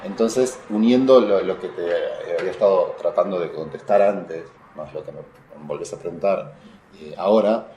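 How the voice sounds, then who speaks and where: A man's voice: low voice, normal speed, warm tone, muffled recording. A man with a Uruguayan accent speaking as an interviewed writer, reflective and digressive, often searching for the right word. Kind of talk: conversational literary interview, intellectual discussion. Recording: roomy echo, noticeable background noise.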